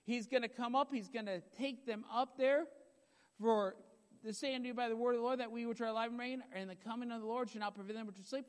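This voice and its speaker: A man preaching a sermon with a loud voice, emphatic and repetitive, building in intensity. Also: extremely high pitch. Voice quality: loud voice at times. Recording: long hall reverb.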